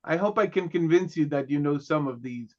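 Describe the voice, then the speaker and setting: A man teaching a class, a native Hebrew speaker who lectures in a relaxed way, joking and often checking in with "okay" and "right". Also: fairly high pitch, brisk, muffled recording.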